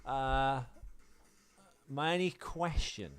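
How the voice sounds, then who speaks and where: A man's voice: mid-range voice, expressive, extremely slow, a bit bright, smooth. A man co-hosting a podcast, chatting casually about a film and explaining details as he goes. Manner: explanatory, reading out film continuity errors. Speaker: podcast co-host. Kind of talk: casual film-commentary chat.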